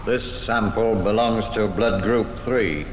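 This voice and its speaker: A man acting a methodical police scientist in a 1950s radio drama, deliberate and clinical. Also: lowish voice. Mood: grave, focused. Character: methodical male police laboratory scientist examining evidence. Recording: dull, echoey old radio recording, faint noise.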